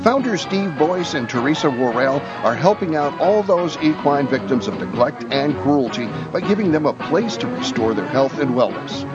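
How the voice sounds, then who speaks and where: A man's voice: fairly high pitch, very animated, normal speed, few pauses, highs cut off, loud music behind the voice. An American man hosting a radio show, talking casually and making announcements.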